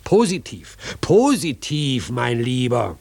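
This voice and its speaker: A man's voice: mid-range voice, very animated, slow, creaky, a little mellow, husky, full character voice. A man in a 1940s radio drama playing a pompous, patronising cabaret director with a theatrical, declamatory manner.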